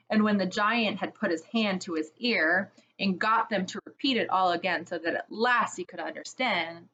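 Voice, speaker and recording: A woman reading a children's chapter book aloud, slowly and expressively, as a storyteller for kids. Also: mid-range voice, lo-fi sound. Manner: slow, gentle, expressive reading.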